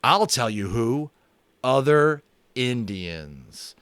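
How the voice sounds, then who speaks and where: A man voicing an earnest, quick-thinking schoolboy, raising his pitch and pressing his points. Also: sing-song, fairly bright tone, clean tone, no echo, heavily acted. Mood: eager.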